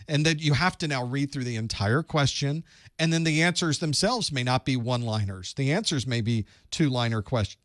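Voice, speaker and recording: A man's voice: medium pitch, dry recording, clean studio sound. Man hosting a call-in study show. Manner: conversational, thinks aloud with restarts. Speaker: host of a certification study group. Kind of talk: live call-in Q&A advice.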